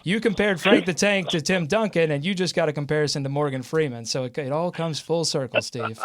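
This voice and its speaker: A man's voice: a little high, a little fast, a bit bright. An American man hosting a live sports talk show, interviewing and chatting in a conversational way.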